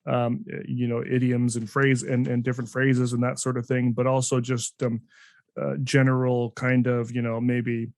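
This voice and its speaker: A man's voice: medium pitch, monotone, normal speed, darkish tone, no room sound, studio quality. A man hosting a podcast interview, talking casually in a rambling way with many restarts and fillers. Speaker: podcast host.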